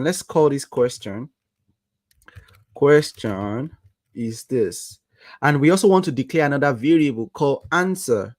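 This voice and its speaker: Nigerian man teaching code, slow and questioning. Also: medium pitch, no echo. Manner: asks rhetorical "what?" questions. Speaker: coding livestream instructor. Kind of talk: live coding tutorial with chat.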